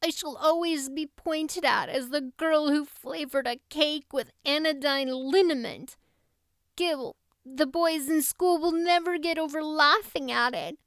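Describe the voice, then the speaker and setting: Woman doing dramatic anguished little girl for an audiobook, really high voice, wailing and overwrought. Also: measured pace, a bit bright, no room sound, clean studio sound. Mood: sobbing despair. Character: melodramatic, anguished young girl, talkative and imaginative.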